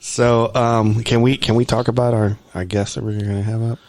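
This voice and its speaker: A man's voice: mid-range voice, flat delivery, moderate pace, a little mellow, a little hiss or hum. A man co-hosting a podcast in easygoing chat, leaning on "you know" and "like.". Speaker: podcast co-host. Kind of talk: casual chat.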